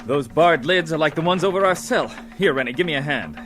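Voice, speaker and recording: An actor playing a decisive heroic adventurer in a radio serial, giving orders with authority. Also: male voice, a little high, fairly quick, music in the background, close to an everyday voice. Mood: brisk, commanding. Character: commanding heroic male adventurer, calm and decisive. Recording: old radio drama recording.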